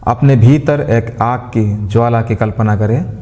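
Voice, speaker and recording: Man, meditation guide, gentle instruction, slow delivery with pauses, soft mellow tone, long hall reverb. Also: medium pitch. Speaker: meditation guide, yoga teacher. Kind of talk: guided meditation with mantra chanting.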